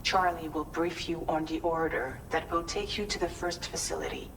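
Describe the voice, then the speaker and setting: A woman playing a businesslike crew leader, giving a direct, plain-spoken mission briefing in a game. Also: moderate pace. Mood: matter-of-fact. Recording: distant voice over rumbling noise.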